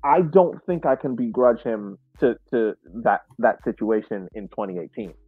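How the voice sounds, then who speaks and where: A man co-hosting a sports podcast, talking in an earnest, deliberate, conversational way. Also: mid-range voice, animated, breathy edge, no room sound. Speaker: podcast co-host. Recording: narrow phone-like sound, faint music.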